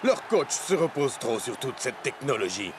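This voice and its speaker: A man voicing a stern, self-assured, dismissive male football rival in an animated series, speaking critically. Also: mid-range voice, moderate pace, lightly acted. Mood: disdainful. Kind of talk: French animated series dubbing. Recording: clear outdoor ambient noise.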